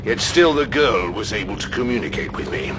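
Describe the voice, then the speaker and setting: An actor playing a stern, resolute space-knight commander in an audio drama, grave, clipped and defiant. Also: male voice, lowish voice, big pitch swings, a little fast, background noise. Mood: stern, probing. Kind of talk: scripted audio drama acting.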